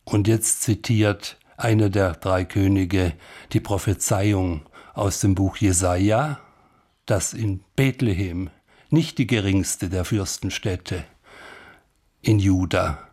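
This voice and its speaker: A man giving spontaneous, reflective film commentary in halting phrases as he muses. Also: low-pitched, slightly rough. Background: loud music.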